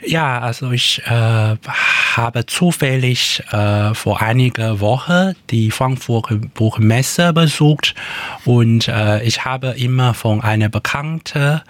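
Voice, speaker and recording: A man from Taiwan with a Taiwanese accent, a native Hokkien speaker, answering in a radio interview with many pauses and fillers. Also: medium pitch, no echo. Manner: hesitant, many fillers and restarts. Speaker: guest.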